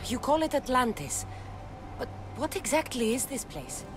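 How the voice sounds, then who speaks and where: A woman's voice: high voice, very animated, moderate pace, background noise. An actress playing a determined young female warrior in a video game, asking direct, pressing questions. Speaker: game character.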